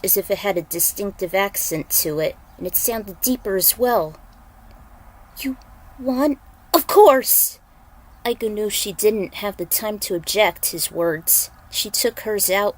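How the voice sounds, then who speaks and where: A woman's voice: mid-range voice, poor audio. Woman narrating her own dramatic story. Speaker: fan fiction author and narrator. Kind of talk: dramatic story reading with casual author's notes.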